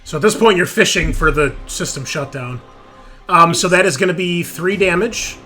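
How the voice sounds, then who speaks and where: Bright-voiced man running a tabletop game. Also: high voice, normal speed, faint music behind. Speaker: game master. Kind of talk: casual tabletop game refereeing.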